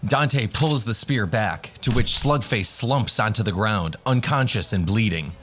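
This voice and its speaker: Low-voiced man narrating fantasy. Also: unhurried, fairly bright tone, a little hiss or hum. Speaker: narrator, game master.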